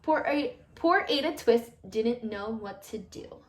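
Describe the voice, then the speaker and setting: A woman reading a children's picture book aloud in an expressive, animated storytelling manner with emphatic phrasing. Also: fairly high pitch, slow. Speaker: storybook reader.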